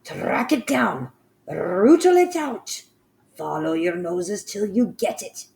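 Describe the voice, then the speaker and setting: A woman's voice: low voice, expressive, unhurried, darkish tone, a little hiss or hum. A woman voicing a ruthless female witch leader who barks harsh orders. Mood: commanding. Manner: harsh, commanding, screaming orders.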